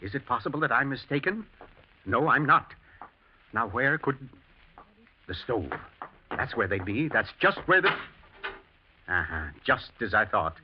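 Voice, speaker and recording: An actor playing a shrewd, suspicious master of the house, urgent and dryly genteel, in a 1940s-style radio drama. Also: male voice, medium pitch, big pitch swings, a little slow, pauses often, background noise. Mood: wry, suspicious. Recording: muffled, grainy old radio broadcast.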